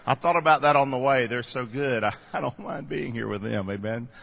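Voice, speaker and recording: Man, 50s, Southern American accent, pastor, folksy casual sermon, husky, long church reverb. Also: mid-range voice, brisk. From North Carolina, USA. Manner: folksy, chatty, talks to the congregation. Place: church sanctuary. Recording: dull audio with long reverb.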